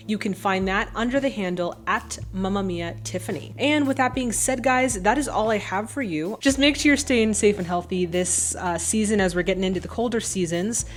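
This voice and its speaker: A woman hosting a film reaction vlog, talking casually and emphatically to viewers in a chatty, informal way. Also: mid-range voice.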